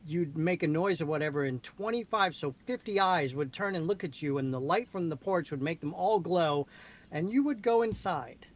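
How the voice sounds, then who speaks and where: A man's voice: on the high side, lively intonation, normal speed. A man telling anecdotes in casual conversation, stressing his points emphatically.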